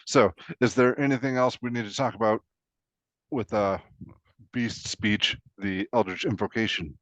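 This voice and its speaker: A man's voice: on the low side, normal speed, no echo. A man co-hosting a casual two-person discussion, recorded with a dull, dry sound. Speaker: co-host.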